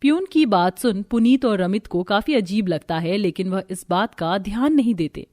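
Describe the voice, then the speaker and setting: A woman with a medium-pitched voice serves as narrator. She reads a scripted cartoon tale in storytelling style. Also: expressive, moderate pace, dark tone.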